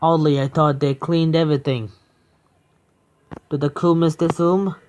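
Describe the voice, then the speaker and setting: A man's voice: a little high, steady tone, a little slow, darkish tone, slight background noise. A young man streaming a horror visual novel, reading the text aloud with stumbles and breaking off into swearing, joking asides. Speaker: game streamer.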